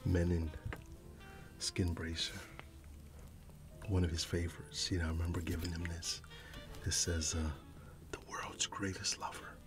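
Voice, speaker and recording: A middle-aged man doing an ASMR roleplay, whispering and soft-spoken, reminiscing unhurriedly with quiet chuckles. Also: deep voice, level tone, unhurried, long pauses. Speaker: ASMR creator. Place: dim indoor room. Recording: soft music in the background. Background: music.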